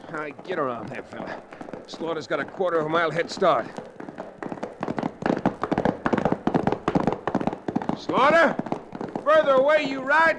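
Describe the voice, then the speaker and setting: An actor playing a shrewd, tough American frontier hero in an old-time radio western, telling his tale in folksy style. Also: very high-pitched. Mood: urgent, commanding. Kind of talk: old-time radio drama acting, first-person narration. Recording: noisy vintage radio broadcast recording.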